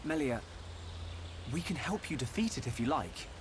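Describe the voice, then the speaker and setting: Earnest young hero, animated. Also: male voice, fairly high pitch, moderate pace. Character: earnest, gentle, eager young male hero. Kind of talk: dramatic video game acting. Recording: clear background ambience noise.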